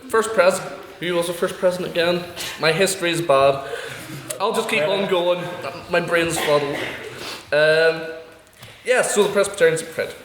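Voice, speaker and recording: A young man with a Northern Irish accent makes his case at a student debate, emphatic and combative, leaning on rhetorical questions. His voice sits a little high for a man. The hall around him is echoey, with a faint hiss underneath. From Northern Ireland. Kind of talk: impassioned debate speech. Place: university debating chamber.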